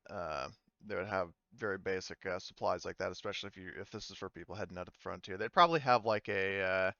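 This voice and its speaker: A man acting as game master in a casual tabletop session, explaining things in a hesitant, halting way. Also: low-pitched, gravelly, bright, forceful tone, no echo, muffled recording.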